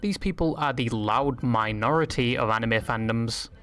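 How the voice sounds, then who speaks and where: A man narrates a scripted video essay in a sardonic way. His voice has an ordinary male pitch, neither deep nor high. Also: moderate pace, fairly bright tone.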